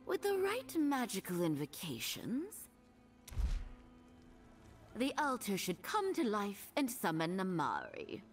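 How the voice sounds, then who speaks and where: A woman's voice: medium pitch, huge pitch swings, slow, long pauses, soft background music. A woman playing a confident, eager young wizard scholar in a video game, assured and formal. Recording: slightly distant, with game ambience.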